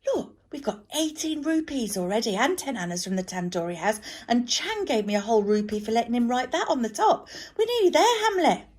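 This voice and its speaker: A woman's voice: on the high side, big pitch swings, fairly quick, few pauses, darkish tone, voice pushed up. A woman voicing a cheeky, scheming young boy in a storybook, cocky and eager in manner. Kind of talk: storybook read aloud.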